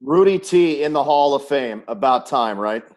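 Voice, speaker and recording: A man's voice: mid-range voice, animated, moderate pace, a little mellow. A man hosting a conversational sports interview, an announcer putting questions to his guest. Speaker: host, sports play-by-play announcer.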